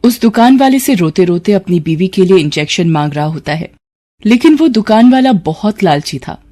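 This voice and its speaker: A woman's voice: mid-range voice, lively intonation, normal speed, dark tone. A woman narrating a scripted moral story as its storyteller. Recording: clear background noise.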